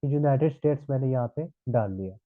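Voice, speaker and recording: A man's voice: medium pitch, fairly quick, dry recording. Man, sore throat, online class instructor, checks in often, tinny phone-like sound. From Pakistan. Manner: explanatory, repeats "thik hai" checks. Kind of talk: live online class on ad targeting.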